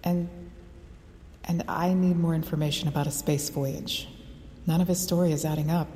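A woman voicing a measured, persuasive government official in an audio drama. Her voice is lowish and warm, never raised. A long reverb and faint score surround her. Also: steady tone, a little hiss or hum, natural voice. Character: persistent government official woman, calm pressure. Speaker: official recruiting the brother.

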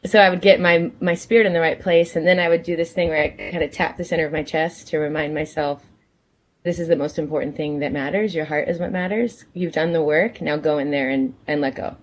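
A woman from California, a guest actor telling her story in an earnest, reflective way. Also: low-pitched.

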